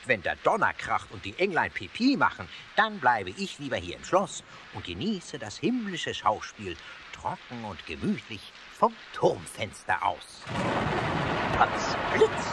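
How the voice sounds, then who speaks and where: An actor playing a jolly, cheeky little castle ghost in a children's radio play, exclaiming playfully. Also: male voice, medium pitch, background noise. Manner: exclaiming, playful, swooping delivery. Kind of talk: children's audio drama acting.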